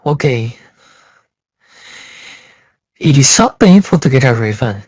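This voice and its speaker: Slow, dry-sounding man warning as reviewer. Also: medium pitch, very animated, darkish tone, breathy edge, no echo. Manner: blunt, emphatic warnings. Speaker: software reviewer. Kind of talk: casual spoken review.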